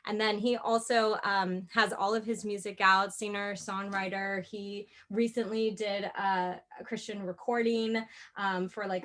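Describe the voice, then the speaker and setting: Woman host, mid-range pitch, upbeat and praising, casual interview tone. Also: fairly bright tone. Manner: upbeat, praising, filler-heavy. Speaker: interview host, acting coach.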